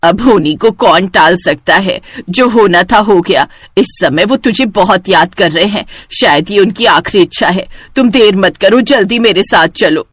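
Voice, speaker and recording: A woman's voice: mid-range voice, measured pace, few pauses, slightly rough, no room sound. A woman voicing a cold-hearted, greedy village aunt who talks in a sly, calculating way, in a cartoon. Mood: urgent, falsely concerned. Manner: sly, calculating, falsely concerned. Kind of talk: Hindi cartoon villain acting.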